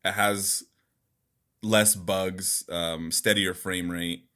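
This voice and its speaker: Man, low-pitched voice, podcast co-host, casual, informal, rambling, sweary. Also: fairly even intonation, drawn-out words, sharp tone. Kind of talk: casual gaming podcast chat.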